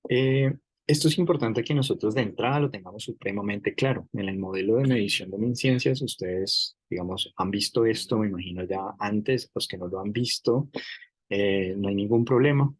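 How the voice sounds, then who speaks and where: A middle-aged man teaches a university class, working through hypothetical cases step by step. His voice sits a little low and carries a husky, breathy edge. The recording is dry and close, with no room around it. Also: darkish tone, no echo, poor audio. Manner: explanatory, builds hypothetical examples, frequent "digamos". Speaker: university lecturer. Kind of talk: academic lecture.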